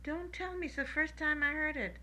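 Woman, Polish speaker, bright voice, short plain answers. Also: high voice, brisk, a little hiss or hum, poor audio. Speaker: interviewee. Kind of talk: dialect interview answers.

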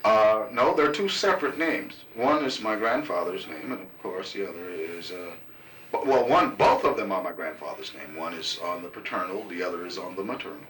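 A man of thirty being interviewed, answering in a deliberate, hesitant way with many pauses and fillers. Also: deep voice, expressive, fairly bright tone. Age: 30s. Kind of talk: casual oral-history interview. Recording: roomy, distant, noisy tape recording.

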